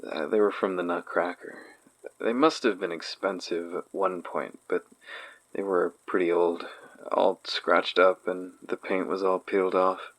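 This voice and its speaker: A deep-voiced man, haunted and uneasy, recalls his past slowly and hesitantly. The horror audio drama testimony sounds natural and reflective, recorded close and dry. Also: fairly even intonation, fairly bright tone, dry recording, heavily acted, poor audio. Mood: wistful recollection. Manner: hesitant, reflective, sighing delivery.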